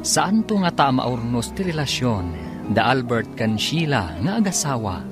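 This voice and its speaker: A man's voice: mid-range voice, sing-song, measured pace. A man narrating and announcing a radio drama in scripted, dramatic style. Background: music.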